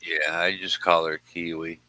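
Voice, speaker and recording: A man's voice: low-pitched, flat delivery, unhurried, bright, forceful tone, dry recording. A man chatting informally as a guest on a live stream, often repeating himself. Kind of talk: casual chat.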